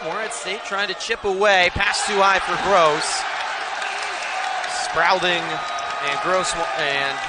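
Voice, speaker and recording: American guy doing basketball play by play, high pitched, noisy arena and crowd behind him. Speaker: play-by-play announcer. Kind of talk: live TV basketball commentary. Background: crowd noise.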